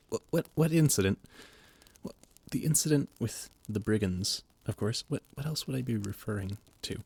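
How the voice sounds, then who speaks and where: A man's voice: medium pitch, gravelly, husky, exaggerated voice. A young man playing an anxious, loyal royal advisor who stammers and speaks formally. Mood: worried. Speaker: voice actor in an audio roleplay. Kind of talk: scripted audio roleplay.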